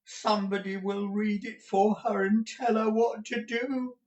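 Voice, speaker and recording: Shrieking old miser, shrill and frantic. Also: male voice, fairly even intonation, measured pace, a little mellow, highs cut off. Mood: frantic despair. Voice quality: shrill, wailing. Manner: panicked, repetitive lamenting. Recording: roomy echo, distant mic.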